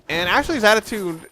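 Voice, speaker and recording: Higher-pitched young man, rambling game commentary. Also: creaky, pushed and bright. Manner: rambling, self-interrupting, conversational. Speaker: let's play host. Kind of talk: casual gameplay commentary. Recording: faint background noise, game sounds underneath. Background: video game sound.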